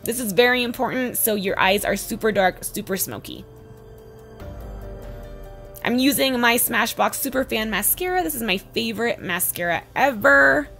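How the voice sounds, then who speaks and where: A woman in her twenties with a slightly hoarse voice, giving a casual, chatty makeup tutorial voice-over. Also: a little high, lively intonation, moderate pace, fairly bright tone. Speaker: beauty vlogger, host. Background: background music.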